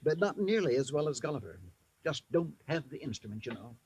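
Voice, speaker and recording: A man's voice: on the high side, sing-song, darkish tone, dull audio, a little hiss or hum. A grandiloquent animal-act showman. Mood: earnest. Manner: earnest, grandiloquent, deliberate. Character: fussy showman who trains performing mice. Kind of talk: 1940s radio acting.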